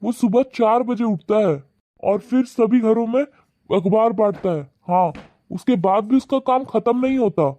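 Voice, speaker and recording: A man's voice: sits high, unhurried, mellow, no echo. Vivek, a man voicing a kind, preachy husband. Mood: scolding. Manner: earnest, lecturing. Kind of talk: cartoon voice acting.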